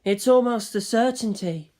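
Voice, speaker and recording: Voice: medium pitch, normal speed, darkish tone, voice pushed up, close to an everyday voice. A narrator playing a solemn, alarmed male national leader in a story.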